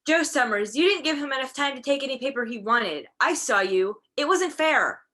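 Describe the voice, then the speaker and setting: A woman's voice: high-pitched, expressive, normal speed, bright, forceful tone, exaggerated voice. A woman in an amateur play as a defensive small-town wife and mother, folksy and pleading. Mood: indignant. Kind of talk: amateur one-act play acting. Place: filmed on a video call.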